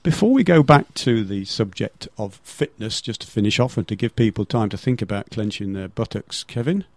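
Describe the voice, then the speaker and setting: A man's voice: on the low side, lively intonation, normal speed, a little mellow, no room sound. A man hosting a casual local radio interview in a jokey, bantering way. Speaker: radio show host. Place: radio studio.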